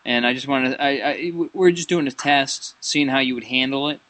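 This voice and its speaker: A man with a bright, forward voice and a middling pitch plays out a prank call. He rambles, stammers and doubles back on his phrases as he improvises like a comedy show host. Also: brisk, no echo. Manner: rambling, stammering, repeats himself.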